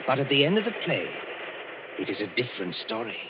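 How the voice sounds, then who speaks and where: A man narrating an old radio drama in a dramatic, measured, ominous way. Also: mid-range voice, big pitch swings, heavy background noise. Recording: dull old radio broadcast with music.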